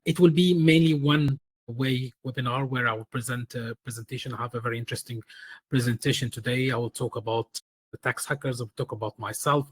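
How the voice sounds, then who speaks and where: Man, 40s, from Egypt, webinar presenter; husky, close and dry sound, hesitant delivery. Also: mid-range voice, a bit bright, no echo. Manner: halting, many fillers and restarts. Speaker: webinar presenter, tax expert.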